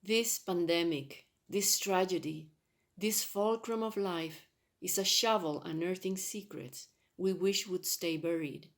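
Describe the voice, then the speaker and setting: A woman's voice: lowish voice, slow pace. A woman giving a solemn reading of a poem. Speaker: poetry reader. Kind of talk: solemn spoken poetry reading.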